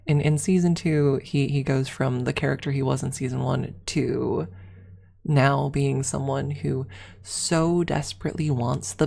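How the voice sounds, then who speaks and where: A woman's voice: a bass voice, vocal fry, dark tone. A woman streamer rambling casually to her viewers on an ASMR livestream, talking in a gushing, hyperbolic fan's manner. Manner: gushing, hyperbolic fan talk. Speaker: streamer answering viewer chat.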